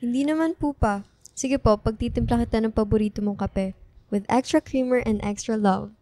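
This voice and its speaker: A woman's voice: on the high side, normal speed, dark tone, dry recording, lightly acted. A woman playing a sweet, playful young student daughter in a radio drama, affectionate, teasing and encouraging.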